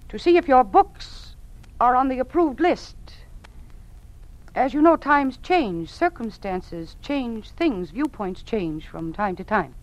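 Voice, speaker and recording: An actress playing an earnest, troubled young schoolteacher in an old-time radio drama, with careful, precise diction. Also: female voice, fairly high pitch. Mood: careful, controlled. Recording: muffled old radio recording.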